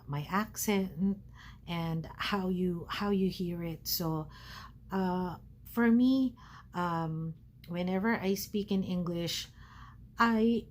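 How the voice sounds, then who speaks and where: Filipino woman vlogging from home, low voice. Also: darkish tone, a little hiss or hum. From Philippines. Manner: hesitant, many fillers. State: recovering from asthma, feeling cold. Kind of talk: casual talk to camera. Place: at home, wrapped in a blanket.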